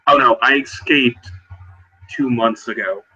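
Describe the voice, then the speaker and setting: A man playing a haunted, uneasy werewolf who escaped captivity, speaking plainly and haltingly in a roleplaying game. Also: mid-range voice, sharp tone, husky, highs cut off, soft background music, full character voice. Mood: uneasy, matter-of-fact.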